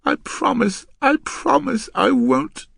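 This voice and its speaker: A man voicing an exhausted, tearful young woman who pleads in a high, thin, breathy voice, as in an audiobook story. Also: animated, slow pace, a bit bright, dry recording, studio quality, heavily acted. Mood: pleading, tearful.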